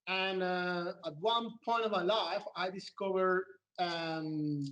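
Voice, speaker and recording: A man's voice: high voice, steady tone, slow pace, a bit bright, recorded from a distance. A man from Barcelona with a Spanish accent, chatting in an easygoing, joking way as a guest. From Barcelona, Spain. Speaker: podcast guest, dance instructor. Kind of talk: casual chat.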